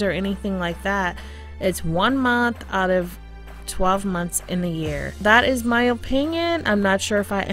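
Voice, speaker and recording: An American woman hosting a podcast, talking conversationally and directly to listeners in a personal, casual style. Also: mid-range voice. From United States. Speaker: podcast host.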